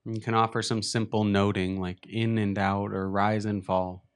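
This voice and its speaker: A man leading a guided meditation as a teacher, speaking gently and unhurriedly in short phrases with long pauses. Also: lowish voice, little pitch movement, clean tone.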